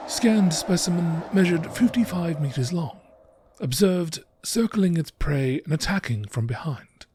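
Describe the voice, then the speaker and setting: A man reading scripted nature documentary narration in a measured, deliberate, dramatic manner. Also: a little high, warm tone, slight background noise. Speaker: narrator reading game lore entries.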